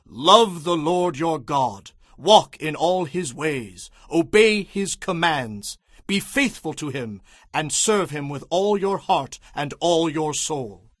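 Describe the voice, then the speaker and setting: A man playing a solemn, commanding Israelite leader addressing his tribes in a slow, emphatic audio Bible drama. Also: on the high side, animated, no echo, clean studio sound. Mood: solemn, exhorting.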